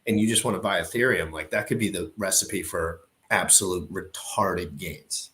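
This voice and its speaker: A man giving brash, profane, excitable commentary while reacting to an old crypto video. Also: low-pitched, moderate pace.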